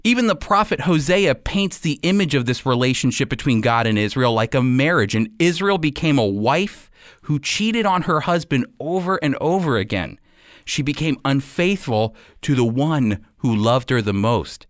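Male Bible teacher, radio sermon style, animated intonation, emphatic phrasing, explanatory, dry studio sound. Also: medium pitch, moderate pace, no room sound.

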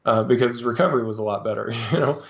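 A man talking as a guest on a podcast, explaining his coaching in a halting way with lots of fillers and restarts. His voice sits in a middle register, and the recording sounds a little dull and lo-fi. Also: mid-range voice. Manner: hesitant, many fillers and restarts. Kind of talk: casual interview talk.

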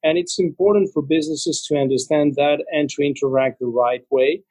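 Spanish-born man, interview guest, slightly high voice, dry close recording, filler-heavy fluent talk. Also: steady tone, brisk, dark tone, husky, dry recording. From Spain. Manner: fluent, frequent "you know" fillers. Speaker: interview guest, trademark association representative. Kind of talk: conversational interview answers.

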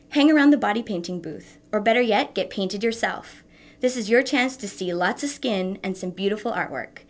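A woman presenting a scripted segment of numbered tips, at a medium pitch.

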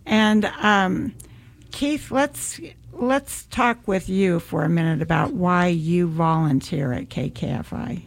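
Slow gravelly-voiced woman radio host. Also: fairly low pitch. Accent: American. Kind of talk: community radio fundraiser hosting.

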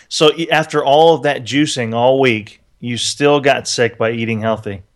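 A man's voice: medium pitch, measured pace. A man co-hosting a tech podcast, chatting casually in an upbeat, joking, rambling way. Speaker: podcast co-host.

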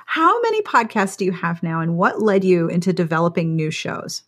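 A woman's voice: lowish voice, expressive, normal speed. A woman hosting a podcast in a chatty, playful way, reading intros and putting questions to guests. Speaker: podcast host and interviewer. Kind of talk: upbeat podcast intro, ad read and interview.

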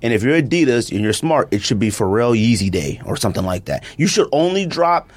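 A man's voice: medium pitch, a little fast. A man co-hosting a podcast in casual chat, ranting with lots of laughter. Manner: animated, ranting, laughs often. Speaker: podcast co-host.

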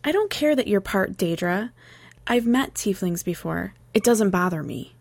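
A woman's voice: medium pitch, animated, normal speed, creaky, darkish tone, dry recording. A woman voicing a guarded, troubled young telepath in an audiobook, speaking tersely and earnestly. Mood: earnest, uneasy. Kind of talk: audiobook character dialogue.

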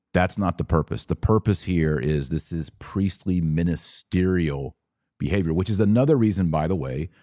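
Male podcast host, low-pitched and dry close sound, didactic, informal teaching delivery for viewer questions. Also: warm tone, no echo. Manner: didactic, explanatory, asks and answers own questions. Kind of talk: live Q&A, informal religious teaching.

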